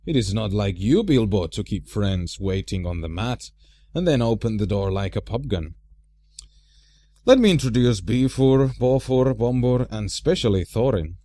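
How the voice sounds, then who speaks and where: Man acting old male wizard, genial, commanding, courteous, animated pitch swings, storybook character. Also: moderate pace, lightly acted. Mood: gently chiding.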